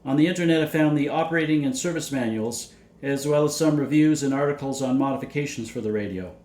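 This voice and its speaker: A man narrating a scripted technical explanation in a measured, matter-of-fact way, as a hobbyist presenter. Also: medium pitch, darkish tone. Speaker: vintage radio hobbyist and presenter.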